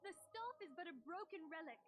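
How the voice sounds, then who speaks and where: An earnest game heroine with a very high voice. She challenges her foe in urgent, formal, dramatic speech, defiant throughout. The audio is clearly noisy. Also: expressive, brisk. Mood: indignant, challenging. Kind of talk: dramatic video game voice acting.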